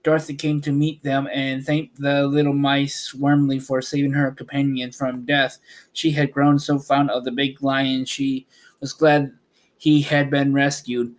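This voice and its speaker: A man reads a storybook aloud at a slow pace, in a mid-pitched voice. His delivery is halting, with the occasional stumble over a word, like an amateur audiobook narrator. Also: flat delivery. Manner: halting, stumbles and corrects words. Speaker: podcast host reading a storybook aloud.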